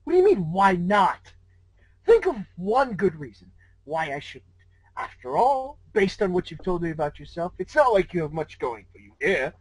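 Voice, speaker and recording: A man's voice: high-pitched, very animated, moderate pace, husky, dry recording, exaggerated voice, poor audio. A man voicing a flippant, teasing old trickster from a cartoon pony fan fiction, with theatrical delivery. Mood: teasing persuasion. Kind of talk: dramatic fan fiction reading.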